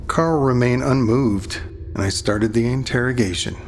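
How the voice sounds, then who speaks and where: A man playing a serious, somber police detective, narrating a crime audio drama in the first person with measured delivery. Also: medium pitch, lightly acted. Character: somber male police detective recounting a serial killer case. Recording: music playing under the voice. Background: music.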